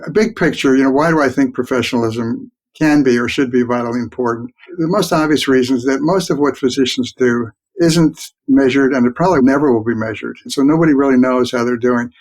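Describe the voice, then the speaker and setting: A mature man giving reflective, explanatory answers as an expert guest in an interview, speaking in a measured, plain-spoken way. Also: medium pitch, darkish tone, poor audio. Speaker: guest expert, physician researcher.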